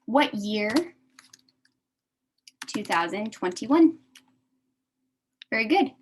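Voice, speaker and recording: A young American woman teaching a beginner English class, speaking slowly and repeating each phrase for her adult learners. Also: medium pitch, big pitch swings, slight background noise.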